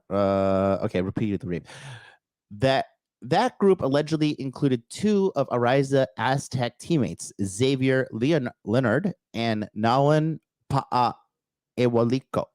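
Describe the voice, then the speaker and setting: A man hosting a livestream, reading an article aloud and answering the chat in a blunt, crude way, with a raspy, slightly nasal voice. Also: mid-range voice, very animated, slow pace, dry recording. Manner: blunt, crude, talks back to live chat. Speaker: podcast host.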